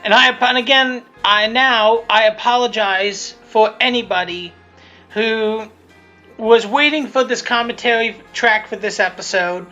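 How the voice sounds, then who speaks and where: Rambling, bright-voiced woman. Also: on the high side, unhurried, dull audio, soft background music. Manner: rambling, often restarts and repeats phrases. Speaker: creator of a fan abridged series. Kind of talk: casual, unscripted episode commentary.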